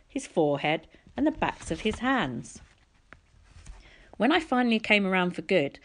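A woman reading a storybook aloud as an audiobook narrator. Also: lowish voice. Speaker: audiobook reader.

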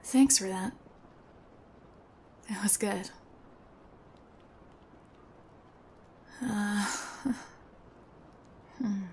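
A woman's voice: medium pitch, extremely slow, darkish tone, a little hiss or hum. A young woman playing a nervous, chatty, strange young female thief in an intimate ASMR roleplay, with a hesitant, rambling, awkward delivery. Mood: wry, soft.

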